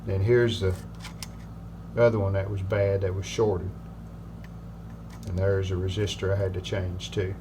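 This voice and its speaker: An older man giving a hands-on repair walkthrough in plain-spoken, halting phrases. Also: sits low, level tone, background noise.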